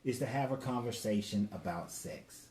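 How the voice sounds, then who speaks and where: A man's voice: medium pitch, normal speed, darkish tone. A man in his forties co-hosting a casual live talk with his wife, laid-back and slangy, greeting viewers by name. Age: 40s. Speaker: co-host. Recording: echoey room with faint background noise.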